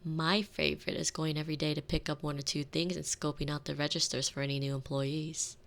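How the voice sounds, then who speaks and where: Woman playing a low, creaky, sardonic retired villainess housewife. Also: flat delivery, fairly quick, a bit bright. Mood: wry, scheming. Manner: wry, teasing, theatrical phrasing. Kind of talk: scripted ASMR roleplay audio drama. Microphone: close binaural microphone.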